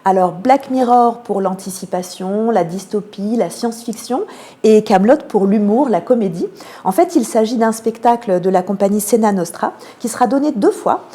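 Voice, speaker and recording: A woman with a French accent from France giving measured, explanatory answers as a radio interview guest, pausing often to find her words. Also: medium pitch, warm tone. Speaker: theatre programme manager, interview guest.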